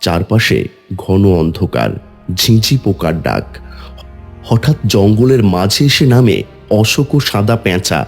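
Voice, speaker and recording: A man's voice: lowish voice, lively intonation, a little slow, soft background music. A man delivering scripted dramatic narration as the narrator of a tale.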